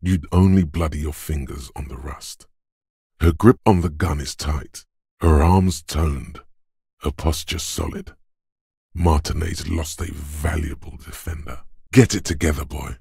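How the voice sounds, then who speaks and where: An actor playing a gruff, macho inner voice of physical strength, hectoring like a drill coach in a video game, gravelly and commanding. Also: male voice, very deep voice, steady tone, a little slow, warm tone, dry recording, clean studio sound, heavily acted. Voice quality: gravelly, husky. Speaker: game character voice. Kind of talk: scripted video game narration.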